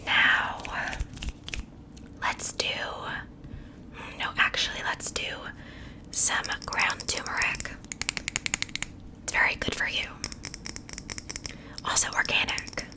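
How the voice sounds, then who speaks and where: A woman voicing a friendly, chatty witch in a gentle, reassuring, unhurried ASMR roleplay. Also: close to an everyday voice. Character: friendly, chatty witch seasoning a captive for stew.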